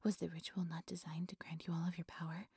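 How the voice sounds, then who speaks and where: A woman voicing a devoted, obsessive high priestess in an audio roleplay, soft and breathy, speaking with formal, fawning reverence to her dark god. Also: little pitch movement, quick pace, no echo, clean studio sound. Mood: contrite, reverent. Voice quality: soft, breathy, often whispery.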